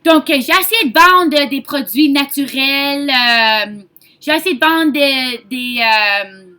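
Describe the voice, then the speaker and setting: A Franco-Ontarian woman with a high, bright voice talks casually as a sales consultant. Her delivery is chatty and informal, with English words slipped into her French. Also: slow pace. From Ontario, Canada. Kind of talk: casual sales pitch to camera.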